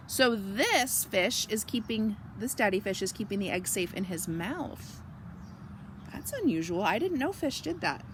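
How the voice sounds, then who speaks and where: A woman reading a picture book aloud to children, gently explaining and asking questions in asides. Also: mid-range voice, expressive, a little slow, noisy background. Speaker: children's story reader.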